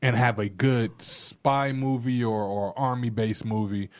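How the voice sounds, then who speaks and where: Medium-pitched man, casual opinionated chat. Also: steady tone, measured pace. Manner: colloquial, opinionated. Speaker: podcast panellist. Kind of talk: casual conversation.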